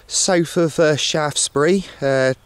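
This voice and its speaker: A man narrating a casual outdoor vlog in a hesitant, matter-of-fact way, often pausing as he goes. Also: a little high, smooth, no echo. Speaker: radio hobbyist filming himself. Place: outdoors on an open hilltop. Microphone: handheld camcorder, operator behind camera.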